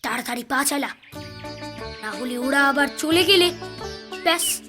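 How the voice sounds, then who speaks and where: Woman voicing a very high-pitched mischievous cartoon boy. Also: animated, a little slow, loud music behind the voice. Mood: bossy, scheming. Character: mischievous, bossy young village boy, ringleader of friends. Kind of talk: children's cartoon voice acting.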